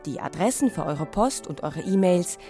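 Lower-pitched woman announcer over music. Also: expressive, normal speed. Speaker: credits announcer. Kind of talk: scripted radio announcement.

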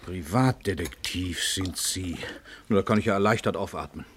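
An actor playing an imperious, irritable, self-important famous author in a radio play, pompous and brusque. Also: male voice, sits low, normal speed, a little hiss or hum, close to an everyday voice. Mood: relieved, condescending.

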